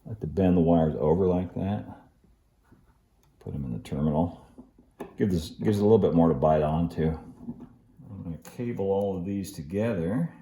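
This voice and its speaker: A middle-aged man giving a casual, relaxed hands-on tutorial, halting a little as he works in an indoor workshop. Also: low voice, echoey room. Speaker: host of model railroad channel.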